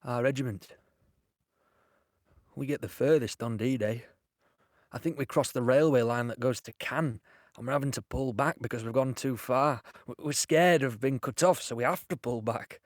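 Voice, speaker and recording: An actor playing a young British soldier, earnest and shaken, recalling the war haltingly in an audio drama. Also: male voice, mid-range voice, no echo, clean studio sound, natural voice. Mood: urgent. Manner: halting, plain-spoken, reflective. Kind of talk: audio drama monologue, conversational recollection.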